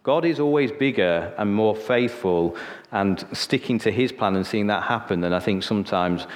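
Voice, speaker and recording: A lowish-voiced man with a northern English accent tells his story from the pulpit, relaxed and conversational. He keeps tossing "okay?" out to the room. His voice rings with long reverb, as in a large hall. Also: normal speed. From Sheffield, northern England. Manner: chatty, storytelling, frequent "okay?" asides. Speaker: preacher. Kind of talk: sermon with personal testimony.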